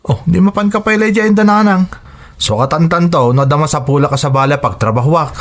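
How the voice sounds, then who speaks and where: A man's voice: fairly high pitch, brisk, natural voice. A man playing a proud, stubborn working husband who grumbles defensively, in an audio drama. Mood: stubborn, impatient. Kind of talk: serial audio drama acting.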